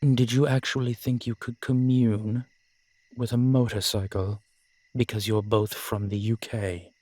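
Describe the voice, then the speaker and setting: A man's voice: medium pitch, flat delivery, a little slow, a little mellow, no echo, lightly acted. A man playing a wry, easygoing werewolf pack leader in an audio roleplay drama, with a casual, teasing manner. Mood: amused.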